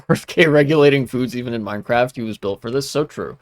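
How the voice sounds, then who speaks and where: A man's voice: medium pitch, quick pace, no echo. A young man streaming while gaming, talking casually with many restarts and blunt asides.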